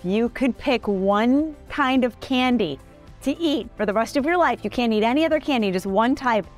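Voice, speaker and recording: A woman's voice: a little high, lively intonation, moderate pace, soft background music. A woman hosting a casual interview chat, asking friendly, upbeat questions. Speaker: host.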